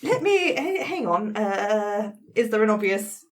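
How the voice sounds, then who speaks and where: A woman's voice: mid-range voice, very animated, unhurried. A woman playing a tabletop game, improvising roleplay chat in a polite, coaxing, slightly hesitant manner. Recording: faint background noise.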